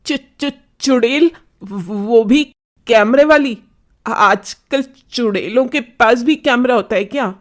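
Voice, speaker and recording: A woman's voice: fairly high pitch, slow pace. A woman voicing a cowardly, dishonest male shopkeeper in a children's cartoon story, stammering and frightened. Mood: nervous. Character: cowardly, lying male sweet seller.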